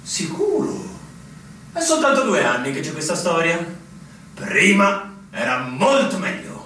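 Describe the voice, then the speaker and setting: A man's voice: a little high, sing-song, unhurried, pushed and bright, full character voice. A man acting in a radio drama as an aggrieved, long-serving fortress soldier, emphatic and military in delivery. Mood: indignant. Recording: very echoey, distant, clearly noisy recording.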